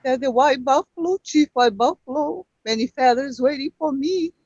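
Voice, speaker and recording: A woman with a Brazilian Portuguese accent, Portuguese her first language, calling in and speaking halting, emotional English with many pauses. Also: high-pitched, a little mellow, dry recording. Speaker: caller. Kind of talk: call-in conversation. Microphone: video call. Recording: lo-fi video call audio.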